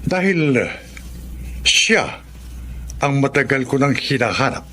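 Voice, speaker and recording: A man's voice: medium pitch, big pitch swings, slow. An actor in a Filipino radio drama playing a courteous, earnest and discreet male lawyer. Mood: thoughtful.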